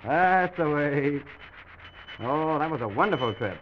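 A man hosting an old-time children's radio show, speaking gently and simply to small children as he tells a make-believe story. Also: a little high, lively intonation, a little slow, a little hiss or hum. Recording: dull old radio recording with music.

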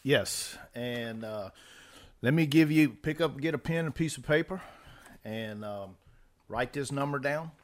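A man co-hosting a financial radio show, announcing breaks and reading out contact details slowly. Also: mid-range voice, dry recording. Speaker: radio co-host.

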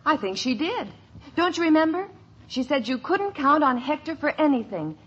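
An actress playing a proper, concerned, gently scolding mother in a 1950s radio family sitcom, with an earnest motherly delivery. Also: sits high, sing-song, moderate pace. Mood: alarmed, determined. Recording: muffled old radio broadcast.

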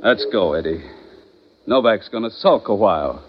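A man's voice: on the low side, lively intonation, normal speed, over loud music, natural voice. An actor playing a menacing, growling gunman in 1940s radio crime drama, curt and mocking. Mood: impatient, threatening. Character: menacing tough gangster gunman, curt and taunting. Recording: noisy muffled old radio broadcast.

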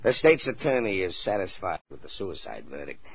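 An actor playing a dogged, uneasy police inspector, speaking in hesitant but insistent phrases in a 1940s radio drama. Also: male voice, low voice, big pitch swings, a little fast, vocal fry, husky, full character voice. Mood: brooding, insistent. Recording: muffled 1940s radio broadcast.

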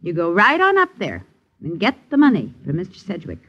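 A woman's voice: lowish voice, huge pitch swings, fairly quick, fairly bright tone, slightly rough, exaggerated voice. A woman playing a bossy, penny-pinching working-class wife with folksy, complaining American speech in a 1950s radio drama. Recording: muffled old radio recording with hiss and clicks.

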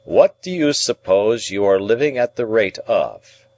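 Man acting domineering, deep-voiced lawyer, interrogating. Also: big pitch swings, vocal fry, a little mellow, dry recording, full character voice. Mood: stern, probing. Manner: emphatic, interrogating, deliberate, pedantic. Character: domineering, shrewd middle-aged male lawyer and guardian. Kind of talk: audiobook character dialogue.

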